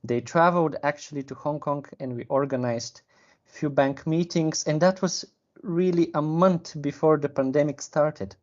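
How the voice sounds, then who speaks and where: A man, a sales manager explaining a client case in a measured, careful way with pauses to find words. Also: a little high, darkish tone, highs cut off. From Bulgaria. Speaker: sales and business development manager. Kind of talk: business interview.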